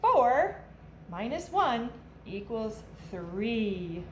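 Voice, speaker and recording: A woman reads to young children in the manner of a teacher, with a high voice that swings widely up and down. She takes her time and speaks to her listeners directly in simple phrases. The room is noticeably echoey. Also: sing-song, extremely slow, darkish tone. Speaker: teacher reading a picture book to young children. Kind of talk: children's read-aloud, with sung refrains and asides to listeners. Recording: echoey room with faint background noise.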